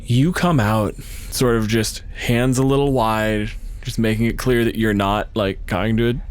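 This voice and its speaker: A man's voice: on the low side, steady tone, a little slow, clean tone. A man improvising narration as game master, casual and wry, swearing freely.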